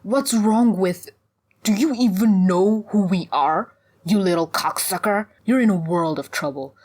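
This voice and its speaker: A venomous old hag of a cult leader, acted out by a woman narrator, spits out pompous doctrine for a fantasy audiobook. She sounds fanatical and preachy, pitched somewhat above the narration. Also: lively intonation, unhurried, darkish tone. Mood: menacing.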